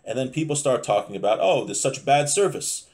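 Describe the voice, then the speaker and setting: A man's voice: medium pitch, lively intonation, fairly quick, darkish tone. A man in his thirties with an American accent, giving an informal Torah lesson in a conversational, explanatory way, reading and commenting as he goes. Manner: conversational, explanatory, frequent check-in tags. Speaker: religious teacher giving a class. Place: small home room, indoors.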